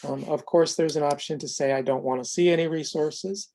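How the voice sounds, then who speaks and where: An American man presenting an unscripted explanatory demo of a software tool, speaking in a measured, halting way with frequent pauses. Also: a little high, steady tone.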